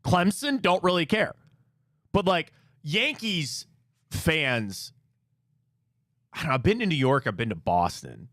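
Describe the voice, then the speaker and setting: Lively opinionated sports talk host. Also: male voice, fairly high pitch, a little slow, long pauses, no echo, clean studio sound. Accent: Midwest, Cleveland. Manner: animated, opinionated rambling with restarts. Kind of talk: casual sports talk chat.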